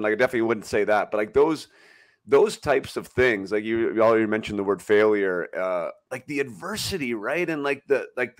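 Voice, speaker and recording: A male podcast host with a mid-pitched voice, talking casually with his guest. He speaks emphatically and fills his sentences with fillers. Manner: conversational, emphatic, uses many fillers. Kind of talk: casual interview chat.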